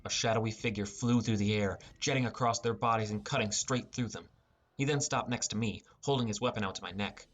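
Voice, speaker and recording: Narrator wanted: man, low register, scripted first-person fantasy storytelling, music behind. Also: fairly quick. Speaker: audiobook narrator.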